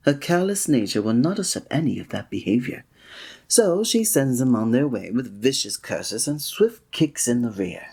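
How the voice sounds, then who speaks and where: A man playing a flirty, breathy innkeeper. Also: medium pitch, big pitch swings, normal speed, a little mellow. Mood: amused. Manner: formal old-fashioned wording with chuckling asides. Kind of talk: ASMR roleplay.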